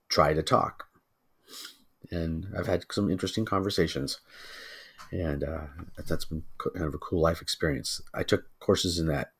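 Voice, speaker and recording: A man giving a casual, informal tutorial as a teacher, thinking aloud and muttering asides to himself in a husky voice. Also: sits low. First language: English.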